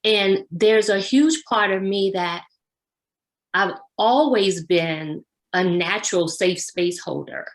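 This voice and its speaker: A woman guest on an online interview speaks very slowly and thoughtfully, in a medium-pitched voice. She reflects like a life coach and checks in with her listener as she goes. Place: on a video call.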